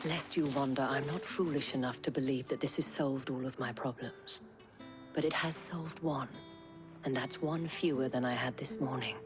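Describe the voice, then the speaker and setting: Poised, low-voiced noble lady. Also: fairly even intonation, music in the background. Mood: gracious, composed. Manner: refined, measured, flirtatious wit. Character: poised noble lady of a town, wry and grateful. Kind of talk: video game dramatic acting.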